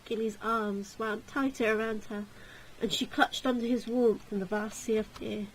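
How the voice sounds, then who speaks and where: A woman's voice: a little high, steady tone, normal speed, slight background noise, poor audio. A woman reading a gothic visual novel aloud as narrator, in a dramatic storytelling style.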